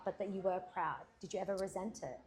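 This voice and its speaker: A woman hosting an interview in a café, asking measured questions and often giving short affirmations. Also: mid-range voice, darkish tone, soft background music. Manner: attentive, frequent short affirmations. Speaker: interviewer, host.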